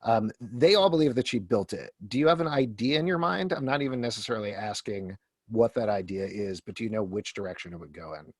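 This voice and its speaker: A man's voice: fairly low pitch, lively intonation, a little fast, no echo. Male moderator with a dry, close sound. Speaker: host and moderator. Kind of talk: casual moderated Q&A.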